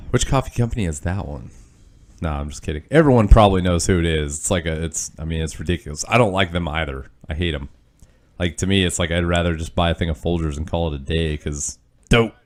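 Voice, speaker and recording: A young man with a stuffy, nasal voice, rambling loosely through let's-play commentary with lots of fillers and self-deprecating asides. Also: low-pitched. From Kansas. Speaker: gaming channel host.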